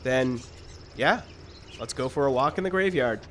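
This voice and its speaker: An actor playing a rueful, hesitant struggling screenwriter in a live radio drama, speaking earnestly. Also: male voice, mid-range voice, animated, normal speed, a bit bright, close to an everyday voice. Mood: reluctant. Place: live radio show in an outdoor park. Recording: live outdoor stage recording, background noise.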